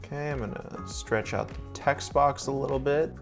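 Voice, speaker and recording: A man's voice: mid-range voice, normal speed, creaky, soft background music. A young man hosting a sponsored video-editing tutorial, explaining each step in an upbeat, casual way. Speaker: video editor and tutorial host. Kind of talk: sponsored software tutorial walkthrough.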